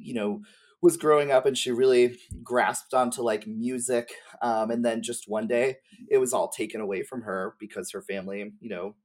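A man talking as a podcast guest in a casual, slangy, rambling conversational style. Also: lowish voice. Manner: informal, slangy, hedging and rambling. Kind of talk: casual conversational chat about game lore.

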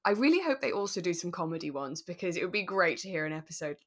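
A woman co-hosting a podcast in relaxed, conversational style, talking thoughtfully and a little tentatively. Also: on the low side, fast. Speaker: podcast co-host.

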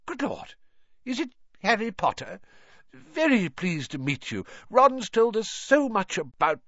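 A man's voice: mid-range voice, sing-song, normal speed, no echo, muffled recording, voice pushed up. A man voicing a tired, kindly middle-aged wizard father who stammers when flustered. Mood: startled. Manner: stammering, sheepish, weary.

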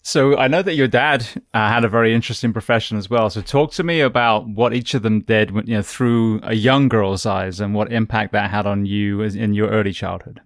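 A man's voice: on the low side, fairly even intonation, a little fast, few pauses, no echo. Dry-sounding male podcast host. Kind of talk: interview questions.

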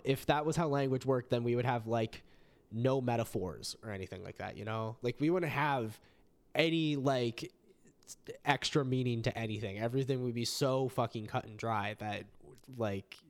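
Young man, podcast host, casual chat, animated, swears, lots of "like". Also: medium pitch, moderate pace. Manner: animated, swears, many fillers.

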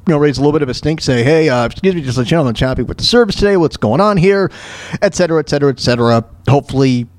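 A man hosting a talk show with casual off-the-cuff news commentary, halting and repeating himself in an exasperated way. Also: a little high, dry recording. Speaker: podcast host commenting on news clips.

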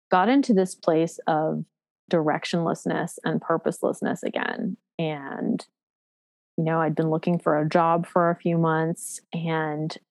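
A woman in her thirties telling her own story candidly, reflective and halting, with frequent fillers and restarts and a frank, self-deprecating edge. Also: fairly low pitch, a little mellow, dry recording. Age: 30s.

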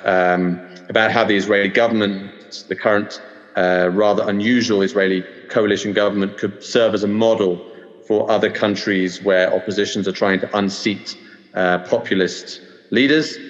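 Hesitant British man, dull roomy audio. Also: on the low side. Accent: London English. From United Kingdom. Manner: hesitant, many ums and uhs. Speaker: podcast host. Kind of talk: casual podcast discussion.

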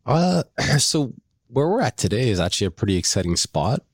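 A middle-aged man, a company co-founder, telling stories and pitching his plans with animated enthusiasm on a podcast. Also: fairly quick, breathy edge, dry recording, studio quality. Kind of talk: casual podcast chat.

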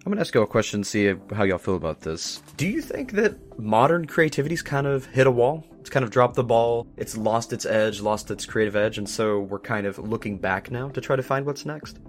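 A man's voice: medium pitch, expressive, a little fast, few pauses. A man speaking earnestly and giving his opinions in a casual panel discussion as a host. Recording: faint music underneath. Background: soft background music.

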